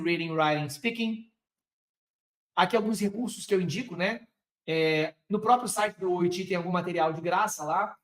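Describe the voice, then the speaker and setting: A Brazilian man with a Brazilian Portuguese accent and a fairly high voice gives an energetic live class on medical exams. He talks straight to his viewers and keeps asking them rhetorical questions. The sound is echoey, as if taken in a bare room. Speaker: medical exam prep teacher. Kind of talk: live online lecture.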